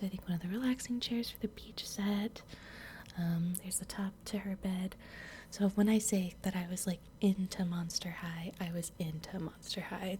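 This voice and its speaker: A young adult woman whispers breathily in a soft-spoken ASMR style, chatting informally. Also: mid-range voice. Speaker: ASMR video creator. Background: clear noise, clicks and crinkles from handled items.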